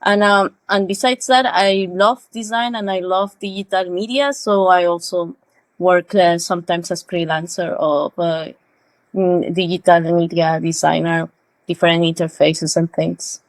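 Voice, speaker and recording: A woman's voice: fairly low pitch, fairly even intonation, measured pace, no echo. A woman in her thirties from Peru with a Peruvian Spanish accent, speaking English carefully with hesitations as a guest in a podcast interview. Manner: careful non-native phrasing, hesitant. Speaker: guest, studio co-founder.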